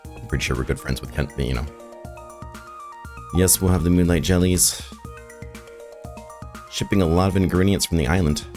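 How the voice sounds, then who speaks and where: A man with an extremely low, hoarse and raspy voice talks loosely through a gameplay session, thinking aloud as he goes. His voice sounds worn from shouting the night before. Game music plays clearly under his talk. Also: moderate pace, pauses often. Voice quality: raspy, rough voice. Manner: rambling, thinking aloud while playing. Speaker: gaming video host. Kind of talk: casual gameplay commentary.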